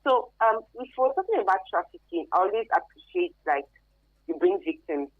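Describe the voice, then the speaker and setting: Animated Nigerian woman, slightly muffled sound. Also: medium pitch, moderate pace, no echo. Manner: earnest, emphatic, repeats phrases for emphasis. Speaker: expert guest, career advisor. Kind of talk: interview, explanatory talk.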